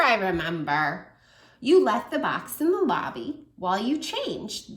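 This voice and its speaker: A woman in her thirties reading a picture book aloud to toddlers, stopping to ask them questions. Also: mid-range voice. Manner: warm, animated, asks children questions. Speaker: children's story reader and teacher. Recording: echoey room.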